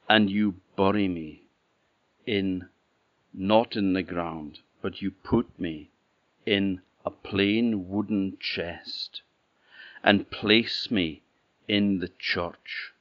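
A man's voice: on the low side, dry recording. A man voicing a wise young princess who speaks solemnly and pleadingly, in a storybook telling. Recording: dull lo-fi recording.

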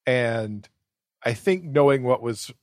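A man speaking as a podcast guest in a casual book discussion, conversational and even-handed. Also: mid-range voice, slow pace, no echo, clean studio sound.

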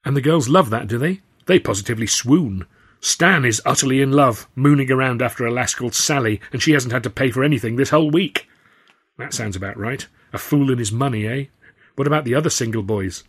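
A teasing, inquisitive young woman voiced by a male narrator. She is prim and easily scandalised yet keen on gossip, speaking archly with a slightly husky tone in a period story. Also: mid-range voice, brisk, a bit bright. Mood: amused, curious.

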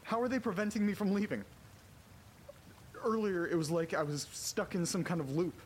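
A man playing a frightened character trapped in a haunted house, muttering to himself haltingly and swearing in a horror audio drama. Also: high voice, slight background noise, close to an everyday voice. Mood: panicked, frustrated.

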